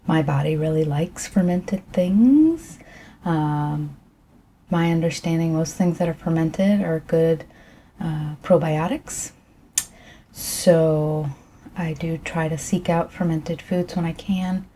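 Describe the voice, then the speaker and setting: A middle-aged woman speaking softly during an ASMR tea tasting, her voice croaky, clearing her throat often, rambling gently with fillers. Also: low voice, slight background noise. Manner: rambling, soft and unhurried with fillers.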